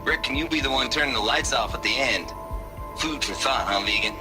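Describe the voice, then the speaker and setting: Man acting a cynical scientist, folksy drawl, mid-pitched, sardonic wisecracks, game drama, music behind. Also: a little fast, natural voice. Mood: teasing.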